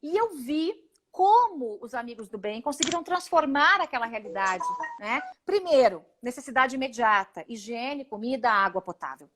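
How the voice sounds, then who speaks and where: A high-pitched Brazilian woman in her forties talks over a video call. She is emphatic and indignant and often runs through quick lists. She speaks as a congresswoman guest in a live interview. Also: expressive, music in the background. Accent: Brazilian Portuguese. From Paraná, Brazil.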